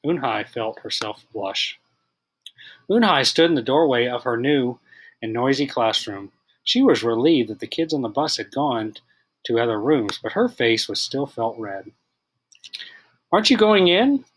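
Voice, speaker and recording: A man narrates a children's storybook in an expressive read-aloud style. His pitch is mid-range and his voice is slightly husky. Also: normal speed, rough recording. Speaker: teacher reading aloud.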